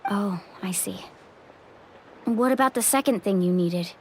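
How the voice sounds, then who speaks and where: A woman's voice: medium pitch, a little slow, background noise, close to an everyday voice. A woman voicing an earnest, determined young traveling adventurer in a video game.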